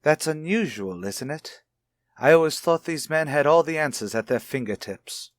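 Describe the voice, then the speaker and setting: A man's voice: fairly high pitch, expressive, moderate pace, no echo, studio quality, lightly acted. An actor playing a stern, stubborn husband and father in a radio soap, speaking formally and with sarcasm. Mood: sarcastic, dismissive. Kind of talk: radio soap opera acting.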